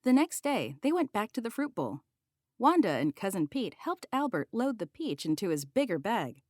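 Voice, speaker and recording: A woman's voice: mid-range voice, big pitch swings, moderate pace, a little mellow, no echo, studio quality. A woman narrating a children's picture book as a read-aloud.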